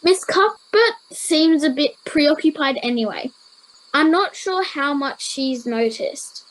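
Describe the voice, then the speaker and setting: A woman's voice: high-pitched, animated, slow pace, rough recording. A girl of ten or eleven playing a sensible, caring boarding-school pupil in an amateur radio play. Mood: practical. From Australia. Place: at home over a video call.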